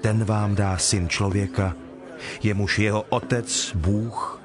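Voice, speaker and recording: Guy acting calm holy teacher in bible drama, kinda deep, solemn n measured, music behind. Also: close to an everyday voice. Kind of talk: dramatized scripture reading.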